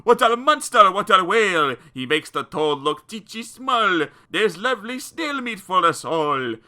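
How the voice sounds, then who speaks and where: Man playing French storybook villagers, loud, gloating, bloodthirsty, raised pitch, forceful edge. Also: sing-song, unhurried, heavily acted. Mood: frenzied gloating.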